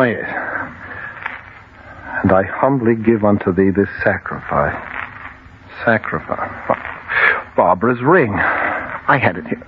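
An actor playing an obsessive, fervent man entranced by fire, earnest and insistent, in old-time radio horror style. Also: fairly low pitch. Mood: hushed, reverent. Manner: earnest, insistent, rhetorical questioning. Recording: noisy, tinny old radio recording.

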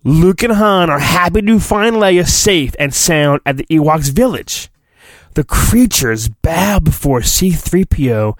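A man's voice: fairly high pitch, dry recording. A man hosting solo, reading a storybook in mock-dramatic fashion with rambling, sarcastic asides. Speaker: solo podcast host.

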